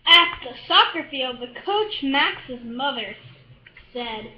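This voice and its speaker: A woman reading a children's picture book aloud, haltingly, stumbling over and repeating words. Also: high voice, lively intonation, echoey room, lo-fi sound. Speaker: storybook reader.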